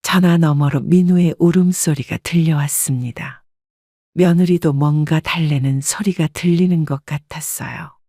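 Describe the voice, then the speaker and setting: A woman in her seventies calmly narrating a reflective first-person life story. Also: deep voice, moderate pace, no echo, studio quality. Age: 70s. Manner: calm, reflective.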